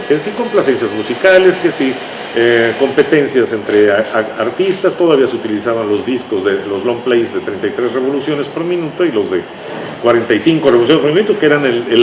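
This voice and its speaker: A man's voice: mid-range voice, animated, a little fast. Older Mexican man in an echoey, noisy room. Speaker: interview guest, writer. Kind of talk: conversational reminiscing. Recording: echoey room with clear background noise.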